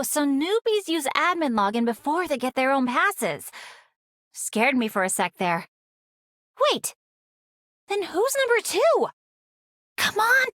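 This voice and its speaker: A woman voicing a cocky, fiercely competitive young trainee girl in a video game, brash and expressive. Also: extremely high pitch, no echo, exaggerated voice.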